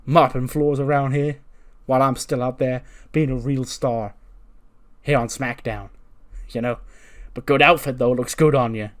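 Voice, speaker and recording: A man's voice: mid-range voice, fairly even intonation, normal speed, close to an everyday voice. A smug wrestling bully, acted out by a man telling a story. He is boastful and condescending, and he delivers his lines as mocking taunts.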